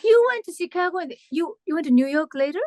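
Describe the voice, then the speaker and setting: A woman hosting an interview, chatty and warm-paced, filling pauses with frequent yeahs and simple phrasing. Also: extremely high pitch, lively intonation. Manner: chatty, frequent yeah fillers, non-native phrasing. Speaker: interviewer host. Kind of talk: casual interview chat.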